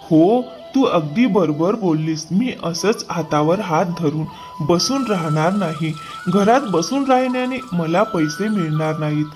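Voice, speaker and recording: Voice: medium pitch, measured pace, darkish tone, soft background music, natural voice. An actor voicing an honest, hardworking farmer man in a moral-story cartoon. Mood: determined.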